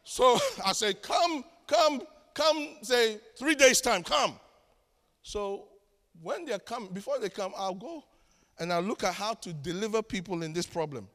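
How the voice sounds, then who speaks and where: A man with a Ghanaian accent preaching a sermon as pastor, telling stories with humor and swinging from shouts to hushed asides. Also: sits high, lively intonation, unhurried. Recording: long hall reverb.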